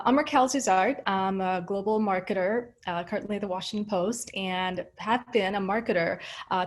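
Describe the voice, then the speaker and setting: A woman giving a polite, careful professional self-introduction as a panelist. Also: mid-range voice. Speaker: panelist, global marketer.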